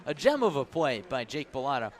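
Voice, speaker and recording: A man's voice: mid-range voice, sing-song, brisk. A man calling live baseball play-by-play on radio in a descriptive way, raising his voice on the action. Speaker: play-by-play announcer. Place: outdoors at a ballpark. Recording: outdoor ambient noise behind.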